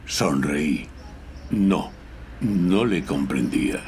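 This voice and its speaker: An actor playing a dry, self-important lawyer who narrates his case in a measured, literary audio drama style. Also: deep voice, animated, darkish tone, a little hiss or hum, exaggerated voice. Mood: dry, narrating.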